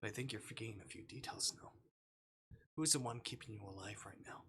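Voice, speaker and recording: A man voicing a calm, coldly clinical and patronizing male kidnapper in a visual novel game, flat and measured. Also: lowish voice, a little hiss or hum. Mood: cold, controlled.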